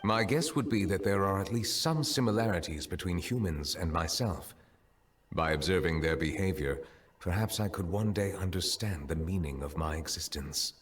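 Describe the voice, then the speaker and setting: Solemn low-key dragon lord in human form played by a man. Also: slight background noise, close to an everyday voice. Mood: reflective. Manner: formal, measured, reserved. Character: solemn, long-lived male dragon in human form, chief justice. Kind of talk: scripted game dialogue.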